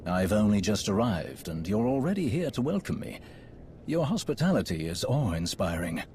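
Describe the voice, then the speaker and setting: A suave, animated Sith lord. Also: male voice, lowish voice, moderate pace, a little hiss or hum. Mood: charming. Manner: courtly, flattering, smooth-talking. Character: suave, flirtatious Sith lord, silky and theatrical. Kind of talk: video game voice acting.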